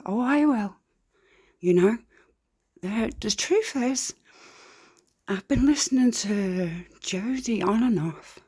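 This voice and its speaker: Slow, rambling woman with a light Liverpool accent. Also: a little high, animated, darkish tone, husky. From near Liverpool, UK. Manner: rambling, hesitant, candid. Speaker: true crime commentator. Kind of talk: casual commentary.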